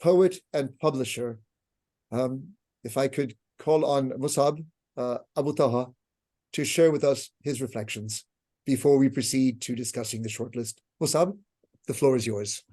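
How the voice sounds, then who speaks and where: American guy hosting an online awards night, grave and earnest, mid pitch. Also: moderate pace, dark tone. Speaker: awards ceremony host. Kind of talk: solemn formal speech. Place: online video event.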